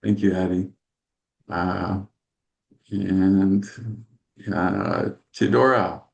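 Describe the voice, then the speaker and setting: A man's voice: sits low, fairly even intonation, drawn-out words, creaky. A man hosting a video meeting, calling on people in turn and stammering with repeated words and restarts. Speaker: meeting host. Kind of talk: hosting round of introductions.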